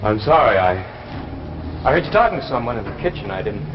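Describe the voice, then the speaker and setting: An actor playing an anxious, guilt-ridden husband in 1950s radio drama style, halting and repeating himself. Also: male voice, mid-range voice, sing-song, background noise, lightly acted. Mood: flustered, nervous. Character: anxious husband, guilt-ridden man sent on a secret mission. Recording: muffled vintage broadcast recording with music.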